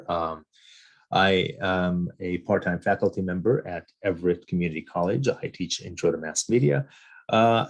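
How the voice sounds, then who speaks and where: A man in his forties from Jordan giving a recorded college lecture, measured and deliberate, with frequent ums and restarts and key figures repeated slowly. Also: low-pitched.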